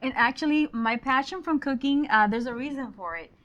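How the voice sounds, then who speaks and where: A woman in her forties from Honduras, raised in Miami, talks as an easygoing interview guest who laughs often. Her voice sits fairly high, and the recording is slightly dull and lo-fi. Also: a little fast. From Honduras, grew up in Miami. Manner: warm, laughs often, some fillers. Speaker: guest, real estate agent. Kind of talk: casual interview chat.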